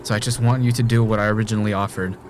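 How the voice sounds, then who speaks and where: A man's voice: lowish voice, steady tone, rapid-fire, lightly acted. A man acting in an audio drama as an obsessive, murderous man trapped in a time loop, speaking with pleading intensity. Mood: pleading, intense. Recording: noisy background ambience.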